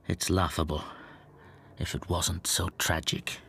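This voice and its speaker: A quiet, wounded little stamp dealer. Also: male voice, low voice, flat delivery, slow pace, creaky, slightly rough, exaggerated voice. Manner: soft, subdued, brooding. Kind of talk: radio drama acting.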